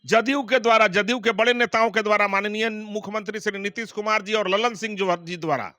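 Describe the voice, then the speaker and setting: A man's voice: high-pitched, fairly even intonation, brisk, bright, forceful tone, no room sound. A man speaking as a party spokesperson in an interview, emphatic and accusatory, often repeating his points. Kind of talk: political interview answers.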